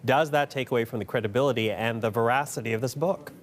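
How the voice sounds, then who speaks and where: A man's voice: medium pitch, quick pace, slight background noise. A man hosting a live news panel, putting questions to guests. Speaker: news show host.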